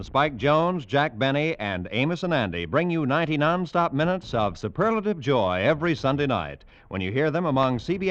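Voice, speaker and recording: A man's voice: medium pitch, fairly bright tone, no room sound. A man announcing in polished 1940s broadcast style. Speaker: radio announcer. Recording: dull vintage radio recording, faint music.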